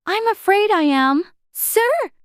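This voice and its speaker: A woman's voice: very high-pitched, very animated, takes it slowly, dry recording, clean studio sound, full character voice. A woman playing a shy, polite, confused young girl in a dramatized storybook, speaking earnestly and properly. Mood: melancholy.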